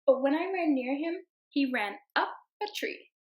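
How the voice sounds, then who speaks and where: A young woman teacher reads a picture book aloud for her class, gentle and unhurried. Her voice sits a little high. When the child in the story calls to the animals, she lifts into a bright sing-song. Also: lively intonation.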